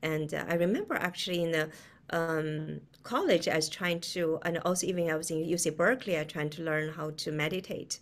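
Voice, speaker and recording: A middle-aged woman from China with a Chinese accent, explaining in a conversational, halting way as an interview guest, often with a chuckle in her words. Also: low voice. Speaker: guest, quantum physicist. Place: indoor room, video call.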